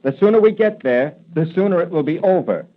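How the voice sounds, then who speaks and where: An actor playing a skeptical, impatient young banker's son in a 1940s radio drama, with a dismissive manner. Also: male voice, fairly high pitch, animated, fairly quick, close to an everyday voice. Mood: scornful, impatient. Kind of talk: 1940s radio adventure acting. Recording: dull vintage radio recording.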